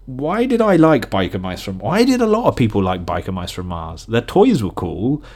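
Low-voiced British man, casually rambling. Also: very animated, moderate pace, darkish tone. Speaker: podcast host. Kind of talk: casual rambling solo reminiscing.

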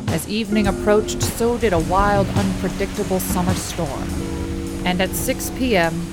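A woman's voice: medium pitch, close to an everyday voice. Composed woman storyteller over music acting. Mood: suspenseful narration. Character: Chauncey, brisk, composed production manager narrating a mystery. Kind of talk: radio play acting and narration. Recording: music bed behind the voice.

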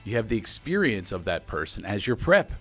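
A middle-aged man with a baritone voice hosting a podcast, bantering and laughing. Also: fairly quick, soft background music. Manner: animated, laughing, playful banter. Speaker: podcast host, comedy coach. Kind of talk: casual chat.

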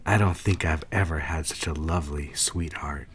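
An actor playing a tender, courtly, worldly lover in a romantic audio drama, soft-spoken and gently teasing. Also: male voice, rumbling low, normal speed, slight background noise.

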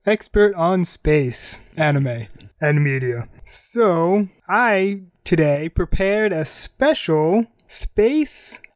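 A man's voice: sits high, expressive, drawn-out words, no room sound, studio quality. A man hosting an anime radio show, chatting casually and introducing songs.

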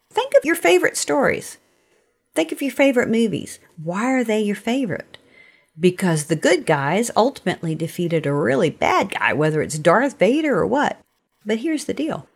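A woman giving a conversational, faith-based teaching talk as a podcast host, earnest and emphatic, often posing rhetorical questions. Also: medium pitch.

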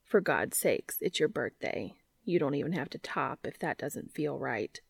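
A woman voicing a calm, reassuring and quietly bossy man in an audiobook-style story, measured and wry. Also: sits low, gravelly, husky, no echo, studio quality, pitched below the performer's own voice, full character voice. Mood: low, reassuring.

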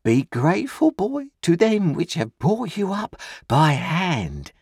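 A man reading aloud and voicing a pompous, blustering older uncle who lectures at length in a raised, drawn-out voice. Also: on the high side, wildly swooping pitch, gravelly, a little mellow, husky, dry recording, studio quality, pitched above the performer's own voice, exaggerated voice. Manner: pompous, sermonizing.

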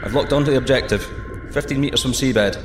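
An actor playing a plucky, excitable male astronaut in a sci-fi audio drama, breezy and cocky between clipped technical reports. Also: medium pitch, fast talker, reverberant, music in the background. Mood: breezy, reassuring. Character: plucky, excitable male astronaut piloting a deep-sea microsub.

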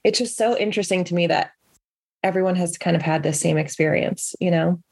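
A woman's voice: fairly low pitch, fairly quick, vocal fry, a little mellow, slightly rough, no echo. A woman co-hosting a podcast in casual chat, rambling with many fillers and restarts. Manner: rambling, filler-heavy, lots of "like" and "you know". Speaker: podcast co-host.

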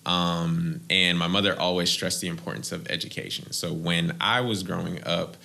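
Very low, gravelly man from Boston, measured podcast guest. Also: steady tone, pushed and bright. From Boston, United States.

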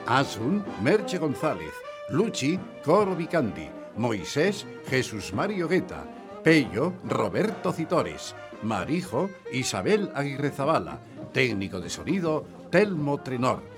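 A man's voice: medium pitch, big pitch swings, slow, over music. A man playing a polite, patient radio contest host, presenting in a radio theatre show. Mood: measured announcing.

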